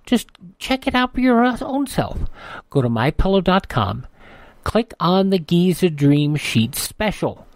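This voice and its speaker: Man, radio host, folksy and rambling, lively pitch, dry close sound. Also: measured pace, no echo. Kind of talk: casual host patter and live-read ads.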